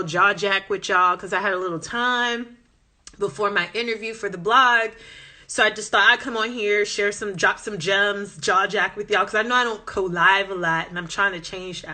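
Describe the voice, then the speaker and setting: A woman's voice: medium pitch, bright, forceful tone. A woman with a Southern American accent chatting passionately on a phone live stream, reading viewer comments as she goes. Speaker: language-learning Instagram host.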